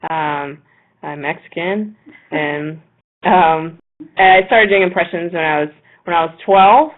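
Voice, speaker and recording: A woman's voice: fairly low pitch, slow pace. Hesitant woman on a tinny line. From Los Angeles. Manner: hesitant, repeats words, modest. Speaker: guest comedian. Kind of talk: casual interview chat. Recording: tinny phone-like audio over a video call app.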